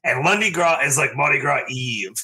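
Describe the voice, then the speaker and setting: A man's voice: medium pitch, animated, normal speed, pushed and bright. A man from New Orleans, a guest bartender, chatting casually and often laughing. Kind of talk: casual podcast chat.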